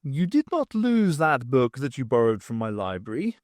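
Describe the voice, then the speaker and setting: A man's voice: medium pitch, very animated, moderate pace, darkish tone, no echo, lightly acted. A man voicing an older, pedantic but kind history professor in an audiobook, dry and precise. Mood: consoling.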